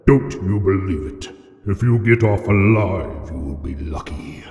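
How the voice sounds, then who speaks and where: In a vast, long reverb, a huge ancient dragon speaks very slowly, with grand menace. He is a boastful, cunning taunter, pitched fairly low, from a fantasy audio drama. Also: expressive, creaky, darkish tone, muffled recording, heavily acted. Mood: sly taunting. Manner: grandiose, taunting, theatrical delivery. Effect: added cavernous reverb. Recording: cavernous echo and long reverb effect.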